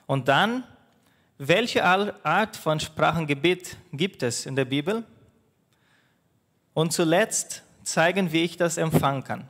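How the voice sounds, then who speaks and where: Man preaching, portuguese native, slightly high voice, halting restarts, long hall reverb. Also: expressive, a bit bright. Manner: halting, with frequent restarts and fillers. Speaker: pastor. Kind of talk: sermon.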